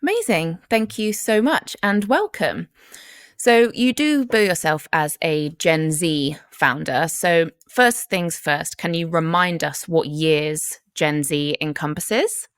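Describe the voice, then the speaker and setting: A woman with a notably low voice hosts a podcast interview, asking questions in a measured, friendly way. The sound is dry and close. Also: clean tone, no echo. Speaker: podcast host.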